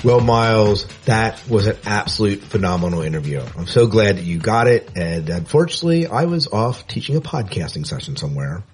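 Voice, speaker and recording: A man's voice: fairly low pitch, expressive, brisk, hardly any pauses, soft background music. A man co-hosting a podcast, chatting casually and correcting himself as he goes. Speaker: podcast co-host.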